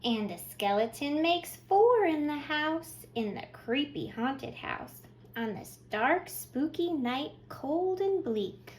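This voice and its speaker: Children's storytime reader: woman, high and lively pitch, expressive delivery, addressing little ones, slight noise behind. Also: takes it slowly, few pauses, low-quality recording. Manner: expressive, addressing young children with simple questions and praise. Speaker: children's librarian, storytime host. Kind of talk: children's storytime read-aloud.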